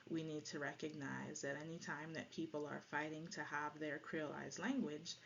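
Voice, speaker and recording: Female presenter, Jamaican Patois native speaker, lowish pitch, explanatory lecture delivery, dull recording with faint noise. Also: level tone, normal speed, creaky, fairly bright tone.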